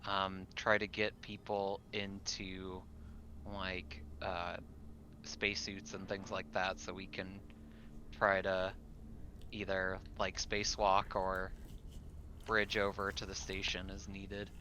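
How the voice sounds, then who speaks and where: A man's voice: low voice, completely flat delivery, takes it slowly, bright, forceful tone, a little hiss or hum. A man playing a tabletop roleplaying game, improvising his move hesitantly and stumbling as he searches for words. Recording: dull lo-fi mic, music behind.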